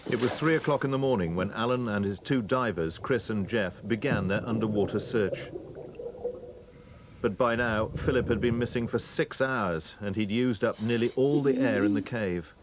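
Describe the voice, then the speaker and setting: A man's voice: lowish voice, normal speed, noisy background. A man reading scripted, dramatic documentary narration.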